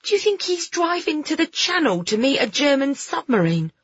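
A woman voicing a rough-spoken, cheeky boy, grumbling but game, with a blunt manner, in a storybook reading. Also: high voice, big pitch swings, moderate pace, dry recording, highs cut off, pitched above the performer's own voice. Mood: gloomy suspicion. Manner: blunt, muttering.

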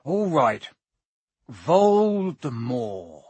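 A man voicing a gruff, warm-hearted giant in an audiobook, rough-voiced, with rustic, blustering and halting speech. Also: on the high side, very animated, dry recording, full character voice. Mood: hesitant, grave. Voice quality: husky, rough.

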